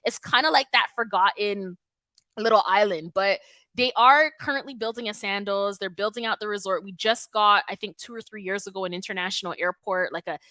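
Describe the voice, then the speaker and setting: A woman from Toronto hosting a live stream, answering viewer questions in an emphatic, animated, conversational way. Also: mid-range voice, fairly quick, no echo. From Toronto, Canada. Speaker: natural hair YouTube host.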